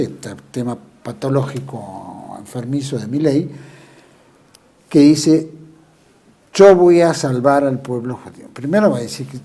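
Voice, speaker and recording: A man's voice: mid-range voice, warm tone, breathy edge. A man with an Argentine Spanish accent interviewing a guest, asking conversational questions with tag questions and letting his voice drop at the ends of phrases.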